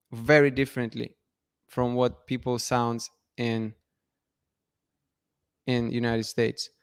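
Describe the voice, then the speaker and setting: A man's voice: medium pitch, clear, resonant tone, dry recording, clean studio sound. A man from Russia, a channel host chatting casually and answering viewer comments with frequent restarts and laughs. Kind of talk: casual live-stream chat reading viewer comments.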